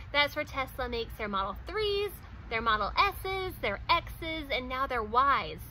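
A woman in her thirties chats straight to the camera in a loose, rambling way, full of "like" and small laughs. Her voice sits high and sounds bright and crisp. A faint outdoor hiss lies behind her. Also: rough recording. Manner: chatty, many "like"s and small laughs. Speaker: vlogger. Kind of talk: casual talk to camera. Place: outdoors in front of a wooden fence.

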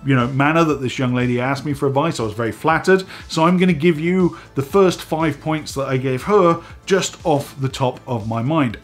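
A man in his forties hosting a casual vlog from a home studio, slightly hoarse and stuffy from a head cold, chatty and animated as he talks to the camera. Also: mid-range voice. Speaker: host. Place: home studio room with dive gear on shelves.

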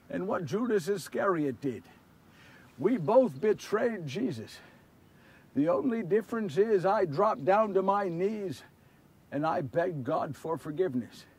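Husky, high-pitched remorseful disciple, acted by a man. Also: expressive, normal speed, exaggerated voice. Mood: solemn, regretful. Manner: earnest, confessional, theatrical, swinging from hushed to shouting. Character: Simon Peter, big remorseful fisherman disciple, anguished and earnest. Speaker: solo storyteller actor. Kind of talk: first-person dramatic monologue of a Bible story. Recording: distant microphone.

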